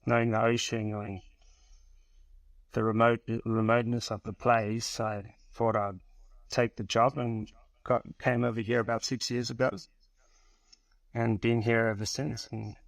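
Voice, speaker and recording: A man from South Africa with a South African accent, in his thirties, telling his story as a podcast guest in an easy, unhurried way. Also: medium pitch, no room sound, poor audio. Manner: relaxed, even storytelling with pauses. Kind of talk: casual interview.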